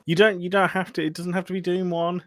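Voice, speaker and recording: A man's voice: high voice, level tone, fast, no room sound, clean studio sound. A man hosting a casual film podcast, joking and teasing with frequent swearing. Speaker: podcast host. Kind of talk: casual comedic chat about films.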